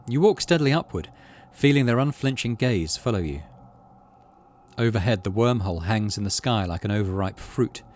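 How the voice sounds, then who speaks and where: A man's voice: fairly low pitch, slight background noise. A man hosting a video game playthrough, reading the story text aloud and adding casual commentary. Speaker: gaming channel host.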